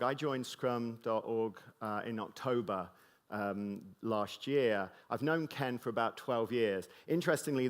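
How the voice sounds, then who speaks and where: A middle-aged man from Britain speaks with British English lightly tinged by mid-Atlantic sounds. He delivers a conference keynote in a loose, joking way, full of ums and restarts. His voice sits at an ordinary middle pitch for a man. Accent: British English with a slight mid-Atlantic tinge. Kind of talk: conference talk to a live audience.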